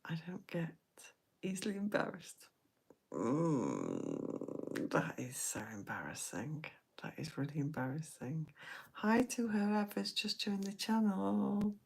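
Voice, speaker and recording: This is a woman chatting to viewers on a late-night live stream. Her voice sits low and gravelly, and she talks in a husky half-whisper. She takes her time between thoughts. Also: huge pitch swings, slow pace, a little mellow.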